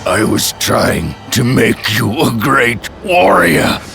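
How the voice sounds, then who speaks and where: Gravelly slow war god, deep growly menace, loud music over it. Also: male voice, animated. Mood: scornful. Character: brutal towering male war god, menacing. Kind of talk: video game cutscene acting. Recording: game cutscene under loud music.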